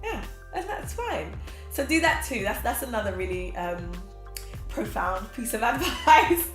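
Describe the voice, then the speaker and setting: A woman in her twenties giving casual, energetic motivational talk to a camera as host, seated in a small room. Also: fairly high pitch, unhurried. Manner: energetic, expressive, gestures a lot, laughs often. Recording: roomy echo with faint background music.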